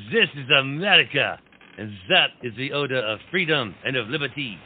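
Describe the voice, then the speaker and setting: A man's voice: mid-range voice, animated, unhurried. A man playing an exuberant, idealistic French marquis in a theatrical comic period drama, grand and rousing, dropping French phrases. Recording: tinny phone-like line, clear background noise.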